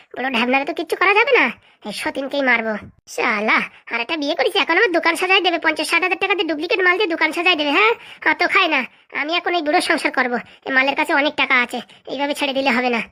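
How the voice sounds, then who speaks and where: A woman's voice: sits high, very fast, sharp tone. A woman voicing a vengeful, scheming jilted wife in a comedy cartoon, ranting and gloating to herself. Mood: scheming, spiteful.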